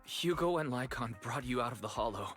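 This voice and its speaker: A man voicing a gentle, caring young man in an anime-style video game. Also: medium pitch, lively intonation, brisk, faint music behind, lightly acted. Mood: worried, relieved.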